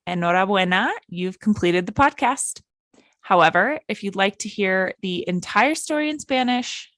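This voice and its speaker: Woman in her 30s reading a spanish lesson story, slow and careful, dry close sound. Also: medium pitch, a bit bright, no echo. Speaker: Spanish teacher and podcast host. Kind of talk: scripted bilingual story narration for learners.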